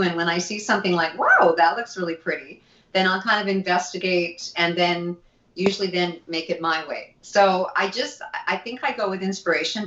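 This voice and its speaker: A woman's voice: fairly low pitch, a bit bright, echoey room, muffled recording. A woman co-hosting a casual chat show over a video call, playful, jokey and theatrical. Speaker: co-host.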